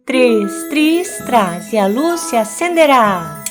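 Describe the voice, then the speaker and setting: A woman voicing a cheerful, reassuring young witch girl in a children's cartoon, expressive and soothing, with music behind. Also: fairly high pitch, takes it slowly.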